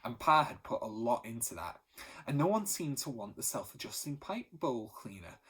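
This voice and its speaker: Husky-voiced man reading a story. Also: on the high side. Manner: steady, expressive reading, voice lifting for the characters. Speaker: teacher reading to pupils. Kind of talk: storybook read-aloud.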